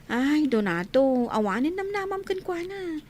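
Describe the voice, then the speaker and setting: Woman playing chatty Filipino schoolmate, swooping highish voice. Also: very animated, a little slow, darkish tone. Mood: gossipy. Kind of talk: village radio drama acting.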